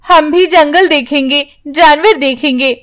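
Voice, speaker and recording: Storybook young daughter, played by a woman: very high, pleading, emotional, unhurried. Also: a bit bright. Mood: pleading, eager. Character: young girl, pleading, insistent, tearful daughter. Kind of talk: children's storybook character voice.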